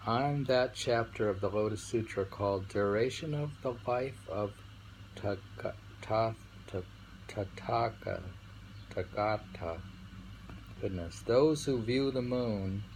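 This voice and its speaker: Guy with low creaky voice doing halting unrehearsed poetry reading and chat, slow, bit of background noise. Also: a little mellow, low-quality recording. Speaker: poetry reader and host. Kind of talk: poetry reading with informal discussion. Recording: faint background ambient noise.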